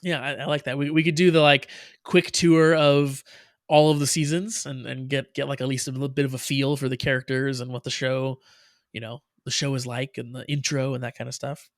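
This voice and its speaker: A man's voice: medium pitch, level tone, fairly quick, dry recording, studio quality. A man with an American accent co-hosting a casual show review, rambling with lots of fillers and frequent laughs. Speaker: podcast co-host. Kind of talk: casual chat.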